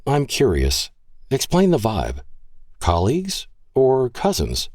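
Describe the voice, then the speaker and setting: A man's voice: medium pitch, takes it slowly, no echo, clean studio sound, pitched above the performer's own voice, heavily acted. A man voicing a curious, teasing young woman who works as a local mountain guide and tells folksy stories. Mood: playful. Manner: lively, playful, folksy storytelling.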